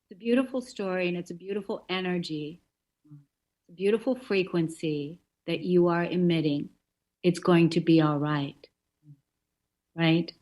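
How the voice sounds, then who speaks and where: A woman hosting a talk radio show, speaking warmly and affirming her guest by repeating phrases. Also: on the low side, a little slow. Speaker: host. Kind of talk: encouraging talk show chat. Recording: dull lo-fi sound.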